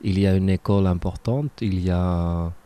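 Low, dark-voiced Finnish man. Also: fairly even intonation, moderate pace. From Finland. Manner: hesitant, many restarts and fillers. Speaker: dancer and choreographer. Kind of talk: radio interview.

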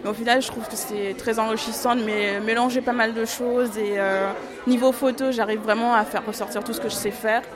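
A woman's voice: a little high, fairly even intonation, a little fast, a crowd in the background. A young woman in her twenties, an artist photographer, answering questions in a casual radio interview with many fillers and easy laughs.

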